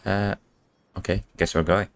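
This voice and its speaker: A man's voice: deep voice, flat delivery, moderate pace, slight background noise. A man giving casual livestream game commentary in short, dry, offhand reactions. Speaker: game streamer.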